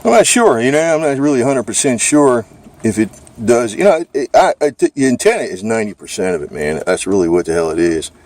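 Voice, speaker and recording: A man's voice: mid-range voice, big pitch swings, fairly quick, a little mellow. A man giving an unscripted product demo in a casual, rambling, informal way with the odd mild swear. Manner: rambling, informal, mildly profane. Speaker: gear reviewer. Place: under a makeshift car garage. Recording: noisy outdoor handheld recording, radio hiss.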